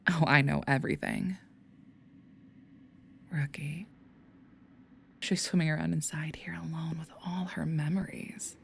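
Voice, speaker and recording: A seductive demon in a woman's body, acted by a woman for an audio roleplay. The voice is low and creaky, slipping into breathy whispers as it mocks and teases in drawn-out phrases. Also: heavily acted. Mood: taunting. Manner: mocking, teasing, drawn-out phrasing.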